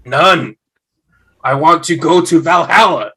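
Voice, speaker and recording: A man playing a gruff, mournful Viking ghost in an amateur table read, speaking in blunt declarations. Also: fairly high pitch, slow, sharp tone, heavily acted. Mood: aggrieved. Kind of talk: amateur table read over video call. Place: remote video-call table read.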